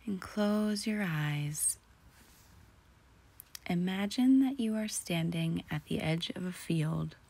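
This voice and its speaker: Slow, breathy woman guiding relaxation. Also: fairly low pitch, lively intonation, darkish tone. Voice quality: breathy, often near a whisper. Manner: soothing, slow and deliberate with long pauses. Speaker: guided meditation host. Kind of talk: guided imagery relaxation narration.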